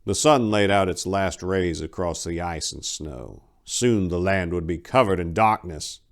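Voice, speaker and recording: A man with a deep voice works as host and narrator. His delivery is scripted, deliberate and theatrical, suited to dramatic story readings. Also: vocal fry.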